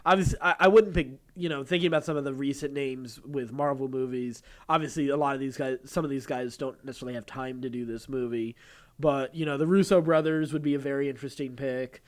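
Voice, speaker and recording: A man's voice: mid-range voice, steady tone, fast talker. A man co-hosting a podcast, casually discussing film news and often restarting his phrases. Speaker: podcast co-host.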